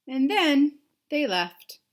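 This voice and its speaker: A woman reading a picture book aloud to young children, pausing to explain things simply and ask them questions. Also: high voice, sing-song. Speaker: read-aloud storyteller for children.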